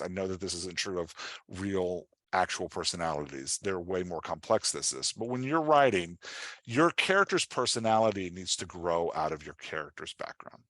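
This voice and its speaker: A man giving an informal workshop as a writing coach, talking in an animated, conversational way and often checking in with his listeners. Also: deep voice, normal speed, no room sound. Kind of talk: informal online workshop presentation.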